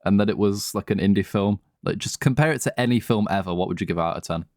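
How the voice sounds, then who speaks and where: Low-pitched man in casual podcast chat. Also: fast talker, a little mellow, dry recording, clean studio sound. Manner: informal, sweary, slangy. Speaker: podcast co-host. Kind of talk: casual chat, film discussion.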